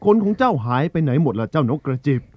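A man's voice: on the high side, sing-song, fast, lo-fi sound. A man playing an authoritative yet caring eagle who rules the birds in a children's story, addressing his gathering with command. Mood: concerned.

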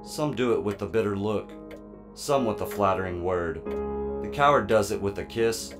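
A man's voice: fairly low pitch, moderate pace, lightly acted. A man playing a naive, earnest artificial man in an audio drama, curious as a child, with formal and literal speech. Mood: solemn. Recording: music under the voice.

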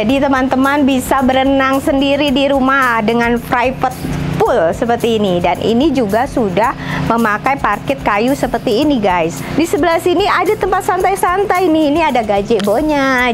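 Upbeat woman touring a house. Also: high voice. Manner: upbeat, addresses viewers as friends. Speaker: property tour host. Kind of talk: walkthrough house review. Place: house and garden tour. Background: background music.

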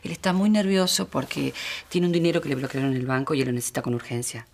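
An actress playing a patient, placating daughter in a family business, with an Argentine accent and an explanatory TV-drama delivery. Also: female voice, very deep voice, steady tone, quick pace, vocal fry, darkish tone, slight background noise. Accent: Argentine Rioplatense. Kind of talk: TV melodrama acting.

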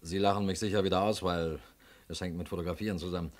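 Man playing eager detective sidekick in a radio crime play, talks fast, lowish voice, deferential. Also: steady tone, natural voice. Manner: eager, explanatory. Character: eager, deferential male police detective, loyal assistant. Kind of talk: German radio crime drama acting.

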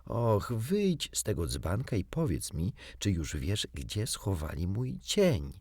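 A man's voice: deep voice, wildly swooping pitch, takes it slowly, a little mellow, breathy edge, no room sound, studio quality, full character voice. A man voicing a proud, prickly young boy in a storybook, polite but curt and indignant. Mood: hushed, eager.

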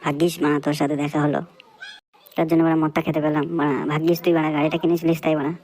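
A man's voice: fairly high pitch, level tone, very fast, a little mellow, natural voice. A man voicing a dim, stubborn young male freeloader in a crude comedy cartoon, slangy, repetitive and argumentative. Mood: carefree.

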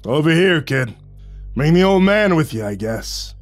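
A man voicing a huge, gruff, fatherly gangster with a rumbling voice in an audiobook. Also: moderate pace, darkish tone, no echo, lightly acted. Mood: gruff teasing. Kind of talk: audiobook character voice. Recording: music behind the voice.

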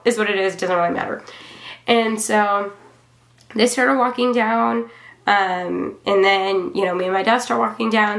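A woman in her twenties chats to camera in a small room at home that adds a clear echo. Her voice has a lot of fry at an average pitch. She rambles along offhandedly, as if telling a story in conversation. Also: fairly bright tone. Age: 20s. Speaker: vlogger reviewing own wedding. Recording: roomy indoor echo.

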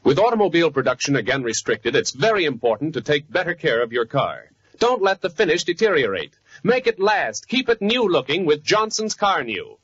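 An American man reads a 1940s radio commercial. His voice is raspy and very lively, on a dry, muffled old recording. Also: a little high, no room sound. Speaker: radio announcer. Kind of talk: 1940s scripted radio advertising.

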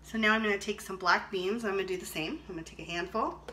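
Lady rambling through a cooking demo in her kitchen, thinking out loud, dishes clanking and clicking behind her. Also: mid-range voice, fast talker. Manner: rambling, chatty, thinking aloud. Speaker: vlog host cooking and reviewing a product. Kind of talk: casual chat while demonstrating cooking. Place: home kitchen, indoors. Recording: clattering dishes and clicks, noisy room.

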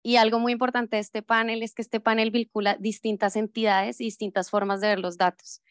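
A woman moderates a conference panel with a smooth, clear voice that stays fairly flat. The sound is dry and close, and her delivery is friendly and organized, with small fillers. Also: on the high side, brisk, no echo.

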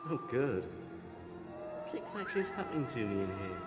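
A man's voice: medium pitch, big pitch swings, fairly quick, over loud music, lightly acted. Guy acting woozy dreamy companion, voice going up and down, muffled in an echoey chamber, sci-fi drama. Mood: dazed. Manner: dreamy, distracted. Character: dazed, light-headed male companion, drifting off. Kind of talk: science-fiction audio drama acting. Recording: dull, echoey chamber with long reverb.

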